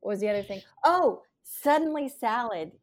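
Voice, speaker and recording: A woman's voice: fairly high pitch, animated, normal speed. A woman in her sixties hosting an interview, chatting and reminiscing casually with many fillers. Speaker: podcast host.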